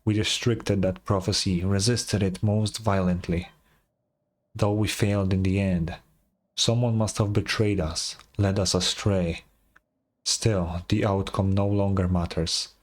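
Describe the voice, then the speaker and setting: A man's voice: low voice, fairly even intonation, unhurried. A man voicing an ancient, defeated stone soldier in a video game, weary and solemn, with formal archaic speech. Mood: solemn, resigned.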